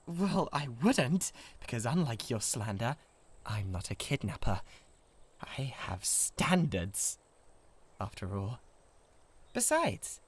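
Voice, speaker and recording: A man with a British accent playing a lovesick, possessive giant who stammers and gets defensive in a comic audio roleplay. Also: mid-range voice. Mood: proud, defensive. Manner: stammering, pleading, easily riled defensiveness.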